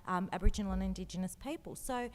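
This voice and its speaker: A woman's voice: medium pitch, rapid-fire. A woman from Egypt living in Australia, speaking reflectively as a panelist in an echoey hall. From born in Egypt, lives in Australia. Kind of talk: personal reflection in a panel discussion. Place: large indoor event hall. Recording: echoey hall public address sound.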